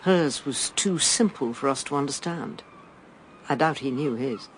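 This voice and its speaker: A measured female voice, thoughtful and composed. She is a wise, calm sister in a radio play, with faint ambient noise behind her. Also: very deep voice. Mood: calm, philosophical. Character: wise, calm sister philosophising about love and fate. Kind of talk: radio drama acting.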